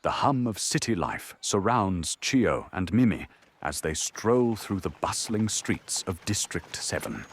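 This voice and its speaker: Low, husky, gravelly male narrator. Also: very animated, unhurried. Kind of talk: dramatic scripted narration of an anime audio drama.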